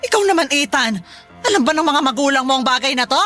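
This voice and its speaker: A woman's voice: high voice, animated, fast, sharp tone, soft background music. A woman playing a stern, exasperated Filipino mother who scolds emphatically in a radio drama. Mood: indignant scolding.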